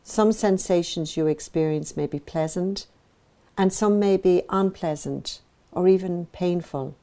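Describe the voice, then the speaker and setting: Woman guiding meditation in a dark, mellow voice. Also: fairly low pitch. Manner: gentle, measured, soothing, with pauses between phrases. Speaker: meditation teacher. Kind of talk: guided meditation narration.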